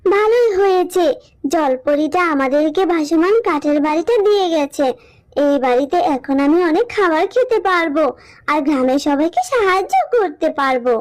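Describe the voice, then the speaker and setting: Casting: woman as timid little cartoon girl, extremely high voice, sweet and childlike. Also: lively intonation, moderate pace. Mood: happy, grateful. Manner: childlike, plaintive. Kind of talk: Bengali children's cartoon dub.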